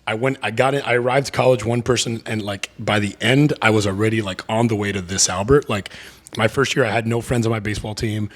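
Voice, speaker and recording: Deep-voiced man rambling in casual chat. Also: fairly even intonation, fast talker. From Miami area. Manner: rambling, many fillers and restarts. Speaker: podcast guest. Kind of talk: casual podcast conversation.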